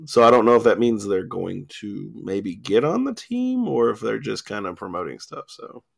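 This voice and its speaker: Male sports radio host, gravelly, loose and rambling delivery, studio mic, voice wearing thin. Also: on the low side, sing-song, moderate pace. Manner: rambling, lots of ums and filler. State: losing his voice, coughing. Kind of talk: casual sports radio chat. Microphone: studio mic with cough button.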